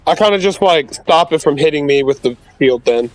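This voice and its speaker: A man's voice: on the high side, expressive, fairly quick. A man playing in a tabletop game, casually asking rule questions and declaring his character's actions. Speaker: player.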